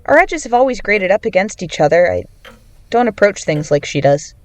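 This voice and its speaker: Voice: mid-range voice, very animated, normal speed, lightly acted. An actor playing an anxious, introspective young adult in an audio drama, both narrating and in dialogue. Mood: uneasy, conflicted.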